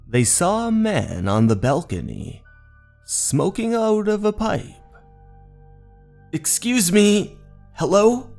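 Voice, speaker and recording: Slow, dramatic male horror narrator. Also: mid-range voice, creaky, darkish tone. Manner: measured, dramatic. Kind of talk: scripted horror story narration. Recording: music under the voice.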